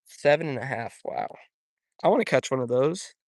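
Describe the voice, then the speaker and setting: A young man chatting loosely while he fishes by a pond, rambling from one thing to the next and answering his viewers as he goes. His voice sits at an ordinary middle pitch, and the recording is dry and close with no room sound. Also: expressive, normal speed, vocal fry, a little mellow, no room sound. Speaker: fishing YouTuber. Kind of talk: live-stream chat while fishing. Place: outdoors by a pond.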